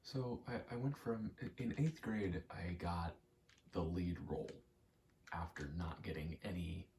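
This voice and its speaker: Casting: young man, low soft voice, halting casual ASMR rambler, faint noise behind. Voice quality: soft, low, often slipping into whisper. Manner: halting, self-deprecating, casually sweary. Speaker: ASMR creator. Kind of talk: rambling ASMR, casual personal chat while gaming.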